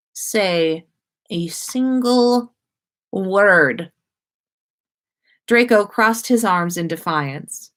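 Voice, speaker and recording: A woman's voice: fairly low pitch, fairly bright tone. A woman host narrating a story expressively, as if reading aloud to listeners on a livestream.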